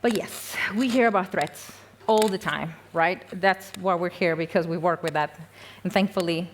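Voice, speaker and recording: A woman's voice: on the low side, a little hiss or hum. Chatty woman at a conference. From Peru. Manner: chatty, self-deprecating, with frequent fillers and laughs. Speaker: conference speaker. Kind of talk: conference talk. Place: conference hall.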